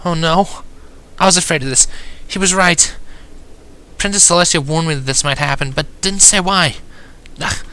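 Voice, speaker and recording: A man's voice: on the high side, moderate pace, bright, forceful tone, smooth, voice pushed up. A man voicing a worried, earnest young female unicorn pony with an anxious manner, in a story reading. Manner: anxious, questioning.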